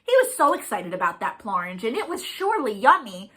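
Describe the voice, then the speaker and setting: A woman's voice: mid-range voice, big pitch swings, fairly quick, a bit bright, slightly rough. A woman leading a children's storytime, speaking expressively and encouragingly in simple, sing-song phrases for young listeners. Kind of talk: animated children's storytime, reading, explaining and singing to young children.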